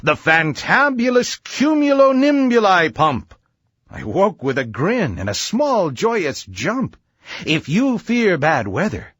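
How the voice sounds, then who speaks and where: A man's voice: on the high side, fairly bright tone, husky, no room sound, studio quality. A man narrating a rhyming children's story in a theatrical, sing-song verse delivery.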